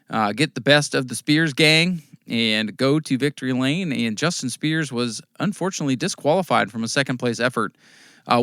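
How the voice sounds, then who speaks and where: An American man with a voice worn hoarse, casually recapping local short-track races as a podcast host, rambling through results with fillers. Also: mid-range voice, fairly bright tone, no echo, studio quality. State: losing his voice, coughing at times. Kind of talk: casual race recap talk.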